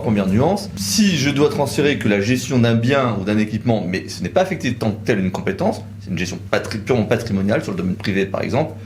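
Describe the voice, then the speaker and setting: A man's voice: lowish voice, darkish tone, slight background noise. Man methodically explaining legal nuances. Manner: measured, methodical, nuanced reasoning. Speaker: lawyer presenting a legal explainer. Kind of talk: conversational legal explainer in tandem.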